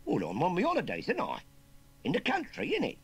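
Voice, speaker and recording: A man voicing a bullying, cocky young scarecrow boy with a Cockney accent, sneering and bossy, in a husky storybook voice. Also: high voice, huge pitch swings, brisk, voice pushed up, heavily acted. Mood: cheeky.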